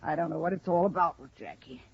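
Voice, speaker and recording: An American actress playing an offhand, evasive hotel keeper in a 1950s radio drama. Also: female voice, on the low side, quick pace, gravelly, breathy edge. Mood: uneasy, relenting. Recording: dull vintage 1950s radio recording.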